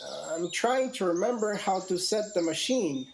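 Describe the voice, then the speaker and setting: This is a deferential young vineyard hand, played by a man, unsure of himself and quick to thank people. He talks politely and hesitates in a homespun radio play, with faint noise behind him. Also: high-pitched, lively intonation, creaky. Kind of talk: community theatre radio play.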